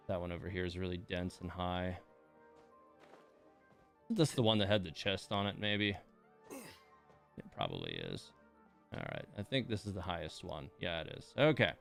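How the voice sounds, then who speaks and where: Low, creaky-voiced man streaming a game. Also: fairly bright tone. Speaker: livestreamer. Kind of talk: casual game livestream commentary.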